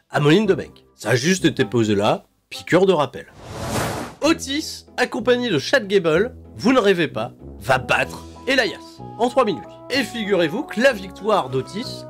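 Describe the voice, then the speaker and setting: A man hosting a wrestling recap, chatty and opinionated with sarcastic asides. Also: on the high side. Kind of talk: casual wrestling show recap.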